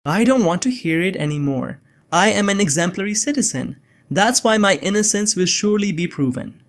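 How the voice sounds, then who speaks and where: A man acting a higher-voiced bewildered young man. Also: expressive, a little fast. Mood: defiant. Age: young adult. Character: bewildered innocent young man framed as assassin. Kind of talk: first-person scripted narration with dialogue.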